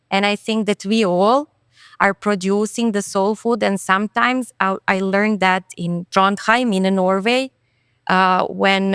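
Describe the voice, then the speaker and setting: Woman, smooth voice. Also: medium pitch, fairly bright tone, dry recording, studio quality. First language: Serbian. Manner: thoughtful, earnest, quick with self-corrections. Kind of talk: podcast interview conversation.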